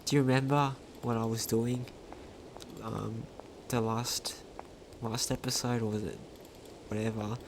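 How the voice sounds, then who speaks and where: A man's voice: medium pitch, flat delivery, a little slow, background noise. A man giving casual let's play commentary, thinking aloud in a hesitant, rambling way. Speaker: gaming video host. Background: video game music.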